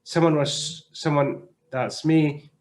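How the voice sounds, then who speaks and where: A male doctor speaking as a panelist in an online discussion, explaining in a measured, halting way and often repeating words as he goes. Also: on the high side, a little mellow. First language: not French (French is third language). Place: online video conference.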